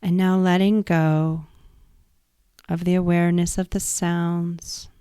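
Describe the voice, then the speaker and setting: A woman guiding a yoga nidra relaxation practice in a calm, soothing, instructive manner. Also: on the low side, slow pace, clear, resonant tone, no room sound, clean studio sound. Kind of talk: guided meditation.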